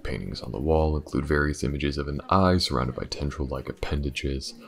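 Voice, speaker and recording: A man playing a weary, driven detective in an audio roleplay, with a hesitant, muttering delivery and a gravelly voice. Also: fairly quick. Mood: measured, reading aloud. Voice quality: very deep, gravelly. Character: weary, driven male detective investigating a dark mystery. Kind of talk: intimate audio roleplay acting.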